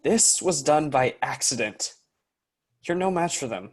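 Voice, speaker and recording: A cautious young man played by an actor in a survival adventure drama. He speaks in a somewhat high male voice, matter-of-fact and firm. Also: expressive, a little slow. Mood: firm warning.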